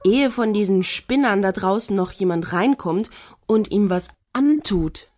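A woman's voice: medium pitch, unhurried, a little mellow, natural voice. An actress playing a wry, mocking woman in a satirical radio play, with a dry delivery. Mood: dryly approving.

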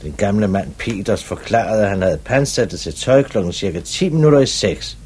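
An actor playing a brisk, probing police commissioner in a 1950s radio drama, with clipped, authoritative questioning. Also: male voice, lowish voice, lively intonation, darkish tone, husky. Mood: reasoning.

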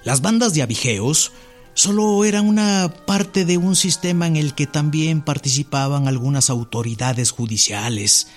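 A man's voice: fairly high pitch, moderate pace, faint music behind, lightly acted. An actor playing a bookish man reading history aloud formally.

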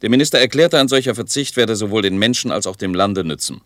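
A formal male newsreader played by a man reads a quoted report matter-of-factly for a radio play. His voice is low and very dry. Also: quick pace, a bit bright, no room sound, lightly acted. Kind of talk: scripted news-style reading in radio play.

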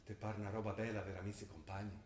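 A man's voice: lowish voice, steady tone, fast, darkish tone, slight background noise, natural voice. A man playing a stern Trentino father. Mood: reproachful. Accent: Trentino dialect. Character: stern Trentino father scolding his daughter. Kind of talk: stage play broadcast on radio. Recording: distant, echoey stage recording with music.

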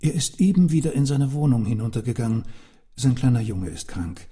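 Actor as a deferential, very muffled-dark male servant. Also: medium pitch, expressive, brisk, natural voice. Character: deferential male office servant announcing visitors.

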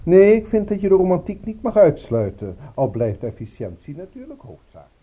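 A polite villain from a radio play. His voice is very dark and mellow, with hugely animated pitch swings. He speaks formally, with cool, courteous regret. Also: normal speed, breathy edge, exaggerated voice. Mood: formal, regretful. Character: courteous, coolly polite villain, brother of a killer doctor. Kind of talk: radio drama acting.

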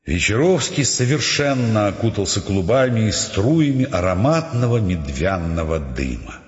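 A man's voice: fairly low pitch, very animated, normal speed. A male narrator telling a story in a radio play with dramatic, wry expression, in echoey sound with music behind. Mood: narrating. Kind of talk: dramatic scripted audiobook narration. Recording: echoey reverberant dull sound over clear music.

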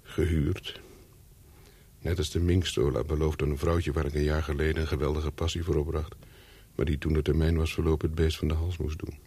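An actor playing a lonely, brooding man who tells his own story in a radio play, deliberately and slowly. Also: extremely low pitch, level tone, mellow, breathy edge, exaggerated voice. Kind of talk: radio play monologue and dialogue.

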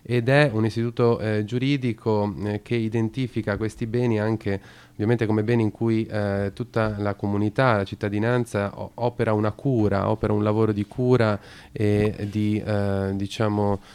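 Gravelly low-voiced radio co-host. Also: male voice, level tone, moderate pace, hardly any pauses, a little mellow. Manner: hesitant, lots of fillers and restarts. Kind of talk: radio talk explaining a topic.

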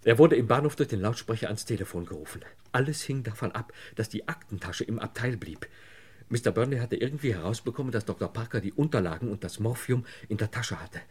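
An actor playing a desperate, broken man under police questioning in a radio crime play, halting and pleading. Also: fairly low pitch, lively intonation, a little mellow, slightly rough. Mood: anxious, confessing. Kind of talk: German radio crime play acting, 1950s to 80s.

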